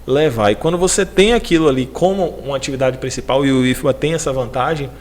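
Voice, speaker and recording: A podcast host in his thirties, this man speaks with a Bahian Brazilian accent. His voice is of average male pitch, and his delivery is casual and conversational. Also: fast. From Salvador, Bahia, Brazil. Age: 30s. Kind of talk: casual interview chat.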